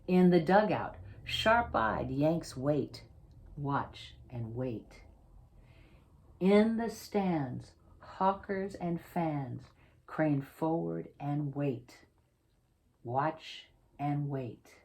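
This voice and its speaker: Female read-aloud storyteller for a kids' picture book: low voice, unhurried pace, dramatic emphasis. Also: animated, gravelly, darkish tone. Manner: theatrical, emphatic, drawn-out suspenseful phrasing. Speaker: children's author reading own picture book aloud.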